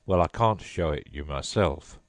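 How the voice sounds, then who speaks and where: A man voicing a melancholy, sobbing male sea creature in a storybook reading, slow and mournful. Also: extremely low pitch, animated, no echo.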